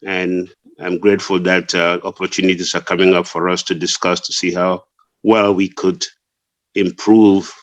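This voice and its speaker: A man from Cameroon who runs a food import business, introducing himself on a webinar panel in a measured, reflective way. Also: sits low, a bit bright, dry recording.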